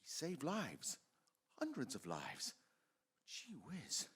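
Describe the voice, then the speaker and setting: An earnest, excitable young husband from an old radio play , acted by a man. His highish voice is very animated, stumbling over words with emphatic feeling and self-doubt. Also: slow pace, breathy edge, full character voice. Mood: proud, excited. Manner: stammering, emphatic.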